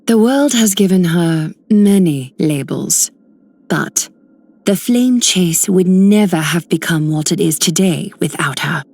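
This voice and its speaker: A woman's voice: on the low side, slow, gravelly, darkish tone, full character voice. A woman voicing a regal, cool, commanding female leader in a video game with stately, formal delivery. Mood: solemn, stately. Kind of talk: scripted game character lines.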